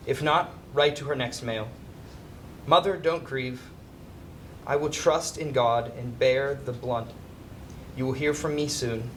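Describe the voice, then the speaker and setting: A dutiful young soldier of the Civil War , played by a man, recites his letter home on stage. His voice is medium in pitch, measured and earnest. There is a faint rumbling noise in the recording. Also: natural voice. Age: young adult. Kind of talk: dramatic letter reading. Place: indoor stage before a projection screen.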